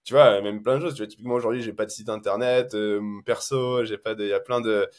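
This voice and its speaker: A man who is a guest SEO consultant, talking in a relaxed, hesitant way with many fillers. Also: on the low side, fast talker. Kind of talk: casual podcast chat.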